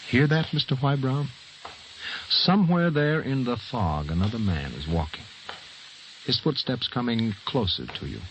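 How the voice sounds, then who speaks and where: A man's voice: medium pitch, animated, measured pace, fairly bright tone, a little hiss or hum, rough recording. An actor playing a menacing, taunting narrator in an old radio thriller, speaking theatrically and insistently straight to his victim. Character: menacing, taunting male narrator stalking a doomed man with words. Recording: old radio drama with music behind.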